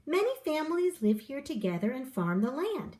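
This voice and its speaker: A woman's voice: high voice, big pitch swings, normal speed, dark tone, pitched above the performer's own voice. A woman voicing a kindly father in a storybook, explaining things to his young son in an instructive, parental manner.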